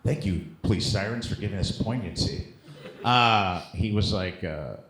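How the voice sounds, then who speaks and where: A man's voice: fairly low pitch, moderate pace, roomy sound, noisy background. A man in casual comic chat, telling stories in a rambling, joking, profane way. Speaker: comedian guest on a podcast.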